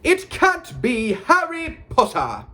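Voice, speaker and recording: Vain male wizard celebrity for a storybook, played by a narrator: raised pitch, grandiose, theatrical projection. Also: wildly swooping pitch, unhurried. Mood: astonished.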